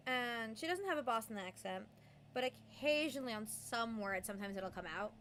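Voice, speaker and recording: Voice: medium pitch, expressive, moderate pace, a bit bright, a little hiss or hum. A young adult game streamer chatting casually and rambling with lots of "um" and "like", fond of doing voices. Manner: rambling, full of "um" and "like"; does playful voices and mimicry. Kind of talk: casual live-stream chat.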